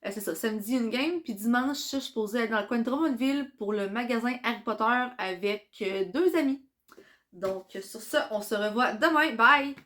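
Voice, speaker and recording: A young woman with a Quebec French accent, chatting excitedly in a casual vlog while congested from a cold. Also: fairly high pitch, normal speed. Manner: chatty and excitable, many fillers. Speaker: vlogger.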